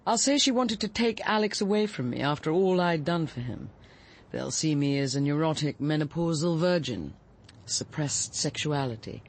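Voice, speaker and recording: A woman's voice: sits low, lively intonation, normal speed. An actress playing a cool, resolute middle-aged woman confessing to murder, with an English accent and an articulate, unsentimental delivery in radio drama style. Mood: resolute, composed. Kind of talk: BBC radio drama acting.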